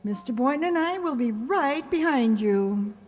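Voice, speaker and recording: An actress playing a wry, self-mocking schoolteacher, dry and wisecracking, in an old-time radio comedy. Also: female voice, high voice, animated, moderate pace, a little mellow. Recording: reverberant hall sound.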